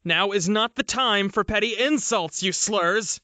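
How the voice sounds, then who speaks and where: A man's voice: sits high, a little slow, bright, forceful tone, no room sound. A man playing a cautious, argumentative politician on the run, emphatic and questioning, in an audio drama. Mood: worried, irritated.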